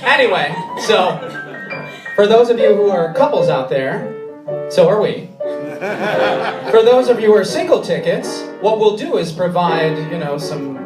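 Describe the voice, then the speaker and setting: Male American cabaret host, joking stage banter, unhurried delivery, picked up from a distance with prominent music. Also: high-pitched, lively intonation. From Rochester, New York. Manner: joking, chatty. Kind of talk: casual stage banter between songs. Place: indoor cabaret theatre stage. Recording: echoey live venue, distant camera mic, music.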